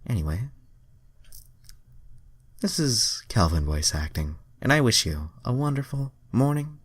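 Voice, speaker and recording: A man's voice: medium pitch, very animated, measured pace, husky, no room sound, studio quality. An informal man reading fan fiction aloud as an amateur host, with wry, self-deprecating, sweary asides.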